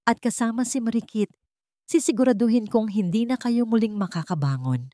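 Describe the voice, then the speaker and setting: A woman's voice: fairly high pitch, fairly quick, mellow, no room sound, voice pushed up, close to an everyday voice. A woman narrator plays a cold, treacherous husband in a romantic drama story. He speaks with haughty menace, and the recording is dry. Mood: arrogant threat.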